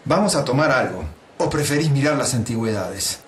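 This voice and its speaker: A man's voice: medium pitch, animated, a little fast, slight background noise, natural voice. A man playing a chatty Buenos Aires tango pianist. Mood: casual. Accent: Buenos Aires Spanish. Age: 40s. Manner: talkative, uses vos and che. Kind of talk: audiobook character reading.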